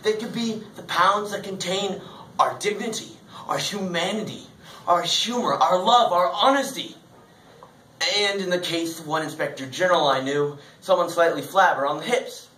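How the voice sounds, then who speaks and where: A man's voice: high-pitched, moderate pace, pushed and bright. A man performing a comic stage monologue as a hugely obese, grandiose philosopher, speaking in a theatrical, mock-solemn way. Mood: fervent, exultant. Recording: roomy, distant mic, faint noise.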